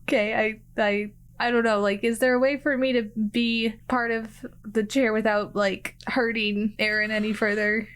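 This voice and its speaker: A woman chatting casually as a player in a tabletop game, describing her moves in hesitant, halting phrases. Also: on the high side, flat delivery. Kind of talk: casual tabletop roleplay chat.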